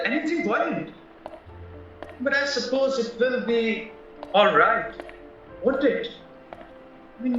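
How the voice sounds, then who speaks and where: A man's voice: extremely high pitch, pushed and bright, exaggerated voice. A man acting a nervous, naive young drifter in a radio play, chatty, polite and hesitant. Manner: anxious, polite, hesitant, rambling. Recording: roomy, distant, muffled, music underneath.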